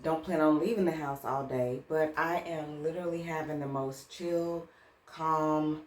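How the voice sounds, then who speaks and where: A woman's voice: sits low, fairly even intonation, a little slow. A woman vlogging at home in a casual, chatty way, talking straight to her viewers and still a little congested from a cold. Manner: chatty, addresses viewers as "girl". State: slightly congested from a lingering cold. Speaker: vlogger and author. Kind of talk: casual chat to camera. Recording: roomy echo.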